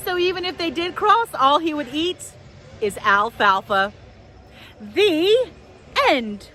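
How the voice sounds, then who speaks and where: A woman telling stories to young children outdoors in a park, with expressive, simple phrasing. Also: sits high, takes it slowly. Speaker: children's storyteller, host. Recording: outdoors with faint wind noise.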